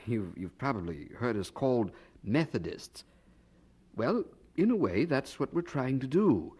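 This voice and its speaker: A man's voice: mid-range voice, sing-song, measured pace, a little mellow, husky. An actor playing a kindly, eager young churchman in a radio drama, earnest and probing, with a slight stammer. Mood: encouraging, earnest.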